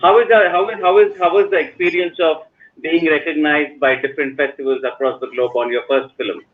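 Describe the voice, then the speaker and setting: A man's voice: fairly high pitch, steady tone, fairly quick. A man from India moderating a film panel, often stammering as he starts his questions. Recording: tinny phone-like call line.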